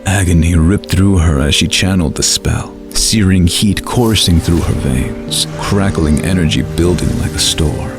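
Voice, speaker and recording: A man narrating a scripted fantasy story in a measured, dramatic storytelling manner. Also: sits low, music in the background.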